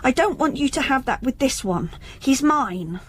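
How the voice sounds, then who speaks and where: An actress playing a possessive, needy young princess in a radio comedy drama, insistent and petulant. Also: female voice, high-pitched, lively intonation, normal speed, a little hiss or hum. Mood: accusing, jealous.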